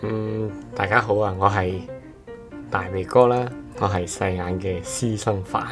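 A man's voice: lowish voice, steady tone, a little slow, music in the background. A man with a Cantonese accent chatting casually as a podcast guest, joking and poking fun at himself. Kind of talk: casual chat.

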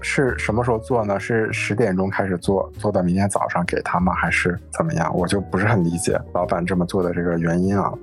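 A man with a very low voice talks quickly, casually sharing a work anecdote. He sounds puzzled as he complains. Faint music runs underneath his speech. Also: fairly even intonation, vocal fry. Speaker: podcast speaker sharing work experience.

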